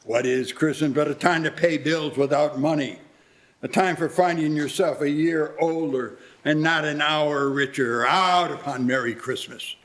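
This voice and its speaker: An actor playing a miserly, scornful old businessman in a live radio play, curt, gruff and sarcastic. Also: fairly high pitch, measured pace, fairly bright tone. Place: live community theatre performance.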